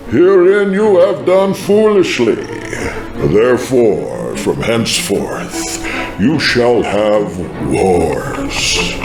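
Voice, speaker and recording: A man voicing a stern, majestic God in a religious drama, declaiming judgment in a grand, commanding, deliberate way. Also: mid-range voice, natural voice. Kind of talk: scripture declamation in dramatized testimony. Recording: echoing voice with music underneath.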